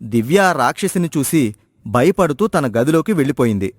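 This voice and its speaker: Male storyteller for scripted tale narration, slightly high pitch, dry recording. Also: big pitch swings, moderate pace, dry recording.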